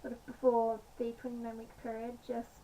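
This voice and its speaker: A woman with a slightly croaky voice, chatting casually in a home vlog with many pauses and fillers. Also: on the high side, completely flat delivery, mellow. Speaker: vlogger. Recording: distant mic, faint background noise.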